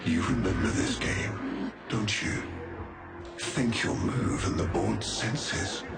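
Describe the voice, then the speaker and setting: An actor playing a sinister aristocratic villain in a game, mockingly courteous, with a theatrical, condescending delivery. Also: male voice, on the low side, slow. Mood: mock tenderness. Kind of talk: dramatic video game cutscene acting. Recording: loud music behind.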